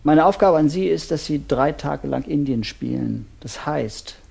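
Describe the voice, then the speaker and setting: An actor playing a probing, unflappable couples therapist with odd methods, speaking in a measured, polite way in a naturalistic radio play. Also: male voice, fairly high pitch, creaky, a little mellow, husky, full character voice. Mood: instructive. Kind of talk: naturalistic improvised-sounding radio play dialogue.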